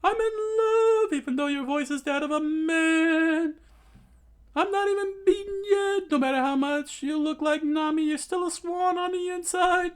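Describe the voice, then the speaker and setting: Shrill, lovestruck young fighter. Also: male voice, normal speed. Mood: swooning. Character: lovestruck, hot-headed young male sea cook fighter.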